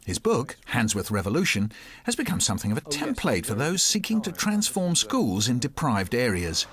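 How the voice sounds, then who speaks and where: A man's voice: medium pitch, huge pitch swings, normal speed, crowd noise. A man hosting a discussion programme about books, presenting and putting questions to guests. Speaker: programme host.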